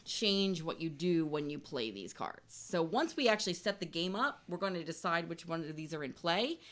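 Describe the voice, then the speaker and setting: Woman game designer w a low voice, chatting casually about how her board game works. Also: expressive, moderate pace, a bit bright. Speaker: game designer being interviewed.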